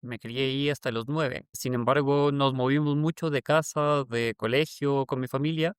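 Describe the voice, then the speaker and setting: A young man from Colombia and Chile, telling relaxed stories as a guest in an interview, with many fillers and self-corrections. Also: mid-range voice, moderate pace, clear, resonant tone, no echo, studio quality. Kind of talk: casual interview conversation.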